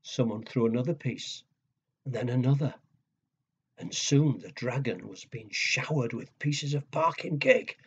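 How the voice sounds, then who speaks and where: A man telling a story to young children, with a husky voice, hushed dramatic pauses and simple questions to his listeners. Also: medium pitch. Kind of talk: children's storytelling and nursery rhymes. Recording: dull lo-fi recording.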